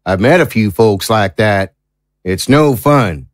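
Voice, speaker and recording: A man playing a gruff, no-nonsense small-town law officer in an audio drama, talking bluntly and urgently in a folksy way. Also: on the low side, expressive, takes it slowly, no room sound, studio quality. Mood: threatening. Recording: noisy background ambience.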